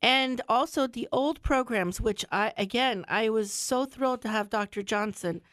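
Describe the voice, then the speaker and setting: A woman's voice: fairly high pitch, moderate pace, a bit bright, dry recording. A middle-aged woman, a family physician hosting a radio health show, talking casually while stuffy from a cold and repeating words for emphasis. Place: radio studio.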